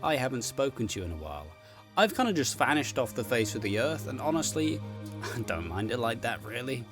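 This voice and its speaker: A man's voice: mid-range voice, sing-song, fairly quick. A man hosting a casual, rambling solo show, swearing freely and joking at his own expense with a giggly manner. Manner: sweary, self-deprecating, giggly. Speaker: host, streamer and content creator. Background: background music.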